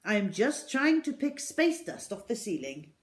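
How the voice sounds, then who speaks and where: A woman's voice: very animated, normal speed. A woman voicing a kindly, jittery middle-aged male newsagent who gets frantic and pleading. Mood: panicked. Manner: frantic, pleading, high and loud.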